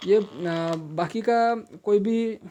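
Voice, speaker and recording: A man's voice: high voice, very slow, mellow, slight background noise. A man with an Indian accent giving a casual, hesitant unboxing explanation as a video host, instructing and repeating his reminders. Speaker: video host and product reviewer.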